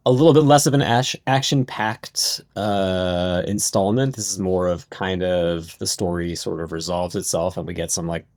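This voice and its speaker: A man's voice: sits low, fairly even intonation, a little mellow, dry recording. A man chatting casually as a podcast co-host, often breaking off and restarting his sentences. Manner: halting, self-interrupting. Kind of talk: casual reminiscing chat.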